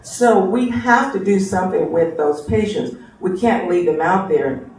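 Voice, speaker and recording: A man speaking as a panel guest in a debate, earnest and halting as he explains things. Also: high-pitched, a little mellow. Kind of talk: debate remarks. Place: tobacco shop, live radio show. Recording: very echoey room from far off.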